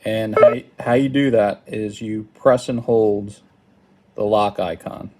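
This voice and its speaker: A man giving an informal hands-on product tutorial in a hesitant, halting, apologetic manner. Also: lowish voice, steady tone, a little mellow. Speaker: product demonstrator. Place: indoors at a wooden table.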